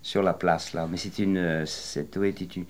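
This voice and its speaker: Deep-voiced man in his sixties reminiscing. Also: steady tone, a little mellow. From western France. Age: 60s. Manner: rambling, hesitant storytelling with many pauses. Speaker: retired interviewee. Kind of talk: oral history interview.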